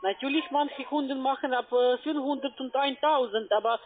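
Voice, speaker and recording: A woman, speaking in a halting way as a telephone sales caller. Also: high voice, slight background noise. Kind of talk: phone sales talk. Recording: muffled narrowband phone line.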